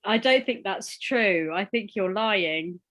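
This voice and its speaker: A woman's voice: medium pitch, expressive, takes it slowly, fairly bright tone. A woman in a casual chat, skeptical and teasing, laughing often. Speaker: podcast co-host.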